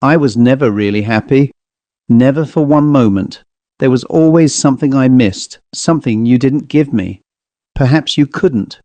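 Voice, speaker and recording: A bitter, proud woman full of reproach, as a male narrator reads her lines. Her voice is mellow and sits dry and close to the mic. She accuses rather than pleads. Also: medium pitch, moderate pace, no echo, close to an everyday voice. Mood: reproachful.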